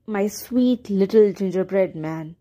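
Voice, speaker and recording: A woman's voice: medium pitch, big pitch swings, normal speed, mellow, lightly acted. A woman voicing a sweet old woman baker who sighs and pleads, in a storybook reading. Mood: wistful. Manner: sighing, pleading.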